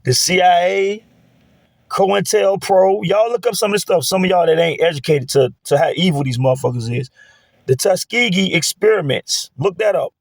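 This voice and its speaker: Blunt, preachy man, fairly high voice. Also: normal speed, dry recording. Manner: blunt, heated, preachy street talk. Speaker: vlogger. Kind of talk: casual monologue to camera.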